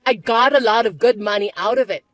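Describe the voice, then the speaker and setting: A woman voicing a spoiled young stepsister who taunts and bosses in a shrill, mocking way. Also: brisk, a bit bright, no echo, natural voice. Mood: taunting.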